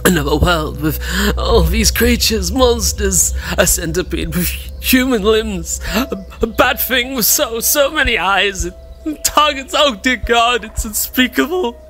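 Man acting a slow, desperate, sickly madman. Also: high-pitched, lively intonation, sharp tone, faint music behind, full character voice. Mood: terrified, failing. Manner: halting, fraught, fragmented delivery. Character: sickly, desperate invisible male hunter losing his mind. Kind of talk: audio drama acting.

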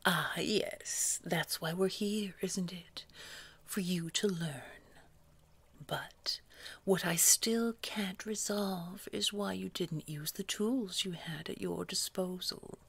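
A woman performs a menacing female boss for an audio roleplay. Her voice is deep and very husky, nearly all breath, and she takes her time. She chooses formal, precise words with cold condescension. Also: slow pace, fairly bright tone, exaggerated voice. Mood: icy, scolding. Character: scary, condescending female boss at a secretive organization. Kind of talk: scripted audio roleplay drama.

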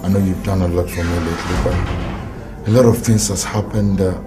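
An actor playing a young doctor in a film drama, accusing and shouting in heated argument. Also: male voice, deep voice, fairly even intonation, moderate pace, close to an everyday voice. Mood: worried. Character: angry young male doctor. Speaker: doctor character. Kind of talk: Nollywood film acting. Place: indoor room, film set. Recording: film soundtrack with loud music.

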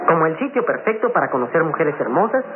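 A man's voice: fairly high pitch, big pitch swings, fast, narrow, tinny audio, over music. An actor playing a respectful, curious boy companion in a radio drama, formal and deferential, on an old radio recording.

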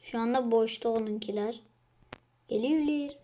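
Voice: medium pitch, moderate pace, warm tone. A child chatting casually as a co-player during a video game. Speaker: gamer, co-player. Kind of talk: casual chat during gameplay.

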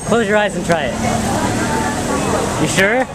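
A man's voice: sits high, expressive, measured pace. A young man filming a group outing, eagerly coaxing his friends to try street food, recorded on a handheld camera mic in a crowded night market. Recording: loud street crowd noise.

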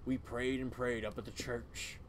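A man's voice: medium pitch, little pitch movement, moderate pace, a little hiss or hum, close to an everyday voice. A man voicing a grim, self-righteous old small-town cult elder with a folksy way of talking.

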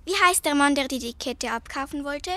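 A woman's voice: high voice, a little fast, bright, forceful tone, no echo. A young woman acting a blunt, nosy girl detective in an amateur radio play. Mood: curious.

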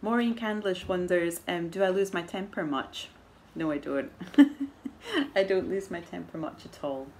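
A woman in her forties with a soft Edinburgh Scottish accent, chatting to camera at home and answering viewer questions in a thoughtful, measured way with gentle laughs. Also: on the low side. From Scotland. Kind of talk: casual chat to camera. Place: living room at home, indoors. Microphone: camera in front at arm's length.

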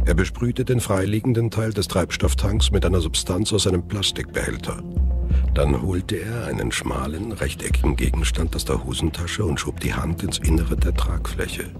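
Super deep guy narrating a thriller radio play, music clearly playing behind him. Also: animated, normal speed. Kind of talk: scripted thriller audio drama narration.

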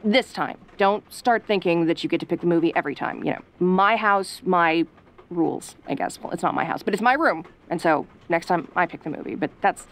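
A woman playing a sassy, easily flustered young tomboy girlfriend, sarcastic and haltingly defensive, in an audio roleplay. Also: on the low side, close to an everyday voice. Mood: defensive, suspicious.

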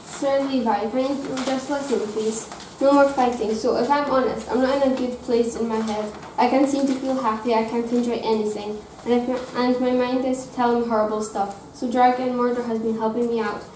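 A young student playing a gentle young princess in a school play, reading earnestly from her script. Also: a little high, steady tone, normal speed, a little hiss or hum, close to an everyday voice. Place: school drama room, indoors. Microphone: far camera. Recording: echoey room from far off.

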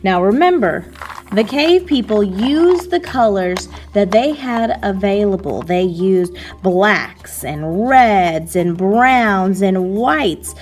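A woman art teacher giving a slow, patient, step-by-step lesson to children over a video of her hands at work. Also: mid-range voice, animated, a little mellow, faint music behind.

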